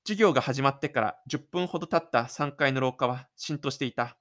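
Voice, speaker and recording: A male narrator tells a scripted story for a homemade audio drama. His pitch is mid-range, and he delivers each action step by step as a dramatic storyteller. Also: fairly quick, a bit bright. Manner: dramatic storytelling with spoken sound effects.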